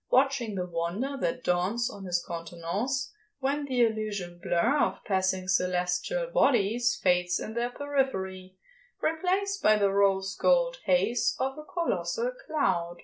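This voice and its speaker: Slow, expressive woman narrating fiction. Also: medium pitch. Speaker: podfic narrator.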